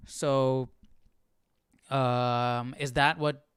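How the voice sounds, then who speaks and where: A man's voice: medium pitch, flat delivery, very slow, a bit bright, clear, resonant tone, dry recording, clean studio sound. A man hosting a Bible study livestream, reading aloud and commenting casually, often questioning and checking for agreement.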